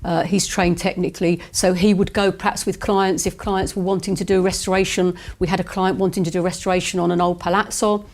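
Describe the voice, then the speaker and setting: A woman who runs a property agency, answering interview questions in a measured, businesslike way with some hesitations. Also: fairly low pitch, flat delivery, a little mellow.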